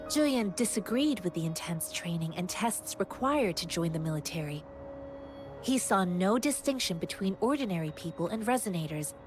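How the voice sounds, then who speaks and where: An actress playing an earnest, polite young martial disciple in a video game, speaking in a formal, measured, reflective way. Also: female voice, medium pitch, close to an everyday voice. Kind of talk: video game dialogue acting. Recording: background music.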